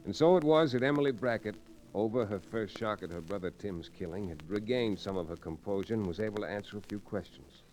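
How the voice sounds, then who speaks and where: An actor playing a cool, steady frontier lawyer in an old-time radio western, speaking in a measured, understated way. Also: male voice, fairly low pitch, natural voice. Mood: grave narration. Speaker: lead actor and narrator. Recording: noisy old radio recording.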